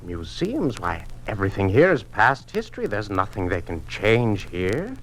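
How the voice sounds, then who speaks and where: An actor playing a wry, easygoing museum music department head with an informal manner in an old radio drama. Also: male voice, fairly low pitch, wildly swooping pitch, moderate pace. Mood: puzzled. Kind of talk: mid-century radio drama acting. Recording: old radio recording with background noise.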